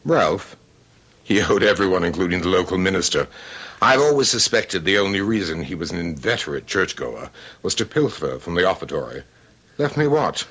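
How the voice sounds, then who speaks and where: An actor playing a fussy, aloof, wealthy middle-aged bachelor in a radio drama, speaking with pompous precision and dry wit. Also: male voice, sits low, lively intonation, normal speed, fairly bright tone, breathy edge, heavily acted. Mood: dry, disdainful. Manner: pompous, precise, drily witty. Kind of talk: old-time radio drama acting.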